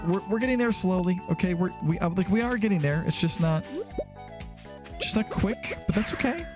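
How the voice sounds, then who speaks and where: A man hosting a gaming let's play in a casual, rambling, self-deprecating way, stopping and restarting as he goes. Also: mid-range voice. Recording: music behind the voice. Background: background music.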